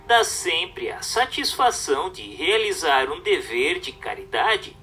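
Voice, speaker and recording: A man with a Brazilian accent reading a religious treatise aloud as a narrator, in a formal, measured, didactic way. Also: fairly high pitch, very animated, pushed and bright, a little hiss or hum.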